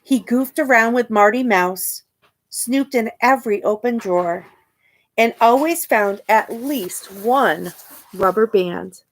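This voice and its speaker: Slow-paced woman reading a picture book. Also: fairly high pitch, low-quality recording. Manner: expressive, pausing to question and point things out to young listeners. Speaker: storytime reader and teacher. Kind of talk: read-aloud of a children's picture book.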